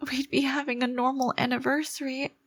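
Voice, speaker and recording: A woman playing an obsessive, possessive yandere girlfriend, menacing yet fragile and devoted, in a dramatic, halting ASMR roleplay style. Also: high voice, little pitch movement. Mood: tearful guilt.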